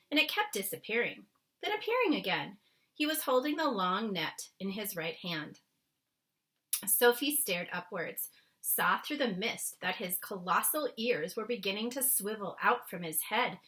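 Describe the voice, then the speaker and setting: A woman narrates a children's story aloud in a bright, clearly projected voice. Her pitch rises and falls in lively swings, and she phrases the story dramatically. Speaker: storybook reader.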